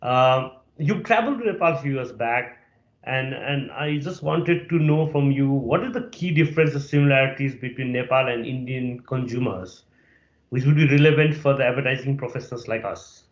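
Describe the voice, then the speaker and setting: A man's voice: mid-range voice, normal speed. A male interview host asking polite questions, peppering his speech with "you know, like". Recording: echoey, dull lo-fi audio.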